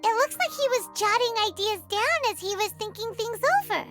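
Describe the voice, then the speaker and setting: A woman voicing a small, childlike, talkative fairy companion in a video game, with a squeaky, expressive delivery. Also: fairly quick, music in the background. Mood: curious. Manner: blunt, expressive, refers to herself by name.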